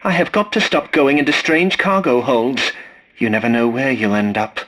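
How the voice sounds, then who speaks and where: An actor playing a fussy, polite, snarky scavenger robot in a video game, with a prim, formal and dryly sarcastic delivery. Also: male voice, mid-range voice, normal speed, a bit bright, natural voice, low-quality recording. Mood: dry, grumbling. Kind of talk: scripted video game character lines.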